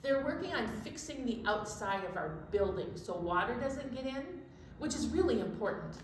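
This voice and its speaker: An American woman chatting casually to young children as a storytime co-host. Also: medium pitch. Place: indoors in a library. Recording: very echoey room with faint background noise.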